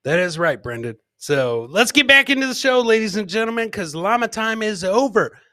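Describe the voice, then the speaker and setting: Bright-voiced, excitable man hosting a livestream. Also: sits high, moderate pace, no room sound, clean studio sound. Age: middle-aged. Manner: loud hype-man delivery, repeats phrases. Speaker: live comedy show host. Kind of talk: casual livestream banter, reacting to clips and chat. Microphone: close podcast mic on boom, headset.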